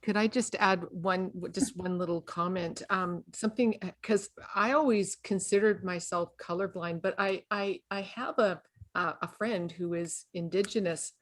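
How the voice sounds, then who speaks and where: A woman's voice: on the low side, moderate pace. A woman speaking tentatively in a casual group discussion, hesitating and restarting. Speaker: workshop participant.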